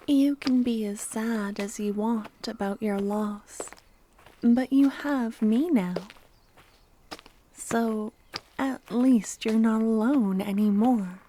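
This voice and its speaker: A woman playing a loving, obsessive cultist kidnapper in a soft-spoken ASMR roleplay, sweetly menacing, breathy and often whispering. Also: on the high side, slight background noise, rough recording. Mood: soft, consoling. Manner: slow, tender, intimate coaxing. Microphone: close ASMR microphone.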